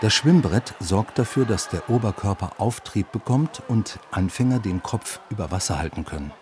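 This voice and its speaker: A man's voice: lowish voice, lively intonation, moderate pace, a little mellow, slight background noise. A man narrating a scripted reportage as a reporter. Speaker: reporter narrating a radio feature.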